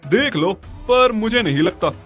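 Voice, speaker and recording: A man's voice: high voice, expressive, a little slow, fairly bright tone, pitched above the performer's own voice. A man voicing a practical, pushy neighbour who gives insistent advice in a cartoon story. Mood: sceptical. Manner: insistent, advising. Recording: music behind the voice.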